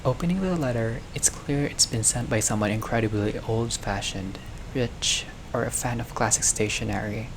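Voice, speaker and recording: A man plays through a visual novel, reading the narration aloud and reacting casually as he goes. His voice has a noticeable gravelly creak at a middling pitch. The home recording carries clear background noise. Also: normal speed. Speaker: gamer playing a visual novel.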